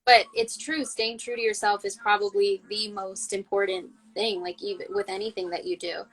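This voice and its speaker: A woman's voice: mid-range voice, little pitch movement, moderate pace, a bit bright, poor audio. A woman hosting a casual interview, talking earnestly and thinking aloud with lots of "you know" and "like". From El Salvador, raised in Canada. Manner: earnest, rambling, thinks aloud with many fillers. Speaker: interview host.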